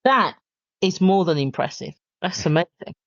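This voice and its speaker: A woman hosts an interview in a lowish voice, conversational and encouraging. The sound is dry and dull, with the lo-fi quality of a remote call. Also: animated, normal speed, vocal fry, no room sound. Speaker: podcast host. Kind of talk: interview hosting.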